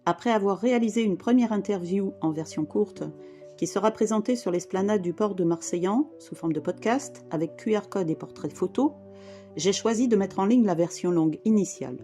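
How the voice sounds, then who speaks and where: A woman in her fifties from the Paris region, reading a personal testimony in a measured, formal way. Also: medium pitch, dark tone. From France, Île-de-France. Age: 50s. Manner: measured, formal, list-like delivery. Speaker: brief therapist and volunteer rescuer. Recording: guitar music behind.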